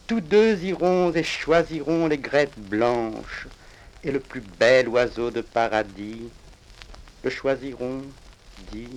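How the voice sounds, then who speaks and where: A crackly vinyl recording of a male poet from Reunion Island reciting his own verse. His medium-pitched voice moves slowly and solemnly, in a measured declamatory style with deliberate pauses between phrases. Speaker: poet reading own work.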